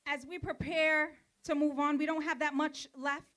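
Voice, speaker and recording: A woman emceeing an outdoor rally in a park, energetic and coaxing as she introduces the next speaker. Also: high-pitched, moderate pace. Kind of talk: emceeing and chant leading.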